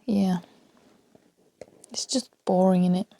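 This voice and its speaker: A woman with a Danish accent rambling freely on her solo podcast, talking softly and often dropping to a half-whisper, with small laughs between thoughts. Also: mid-range voice, fairly even intonation, slow pace, creaky, no room sound. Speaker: podcast host.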